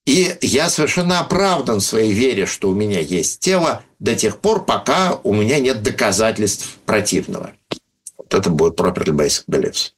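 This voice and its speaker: Low-voiced man explaining at length. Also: sing-song, normal speed, slightly rough. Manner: thoughtful, many hesitations, reads out listener questions. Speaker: speaker answering questions on philosophy of religion. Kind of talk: unscripted explanatory talk.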